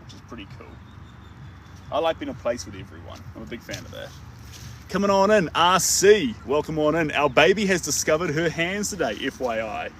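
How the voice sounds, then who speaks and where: A man's voice: a little high, brisk. A young man with a New Zealand accent, an artist chatting casually to viewers during a live stream, rambling and self-mocking. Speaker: artist livestreaming. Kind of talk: casual live chat while painting. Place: outdoors. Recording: distant mic, steady outdoor and traffic noise.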